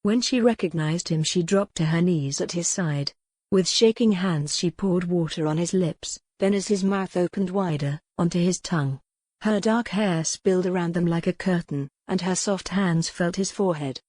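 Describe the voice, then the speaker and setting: A woman's voice: fairly low pitch, normal speed, a little mellow, no room sound. A woman reading a historical romance novel as a scripted, dramatic audiobook narration.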